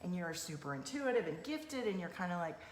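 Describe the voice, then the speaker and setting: A woman's voice: fairly low pitch, sing-song, fairly quick. A woman working as an energy coach, talking casually with a client in an affirming, explanatory way. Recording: echoey room with background noise.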